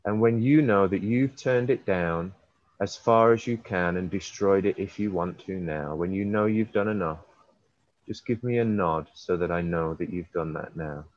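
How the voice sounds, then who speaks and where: Male hypnotherapist, low register, slow repeated suggestions stretched for emphasis, echoey room sound. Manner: repetitive, drawn-out suggestive phrasing with emphasis. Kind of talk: guided hypnosis session. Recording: roomy, slightly dull recording.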